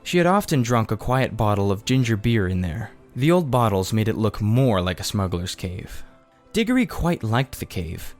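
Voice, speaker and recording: A man's voice: fairly low pitch, very animated, normal speed, soft background music. A man reading aloud a children's story as scripted audiobook narration. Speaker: audiobook reader.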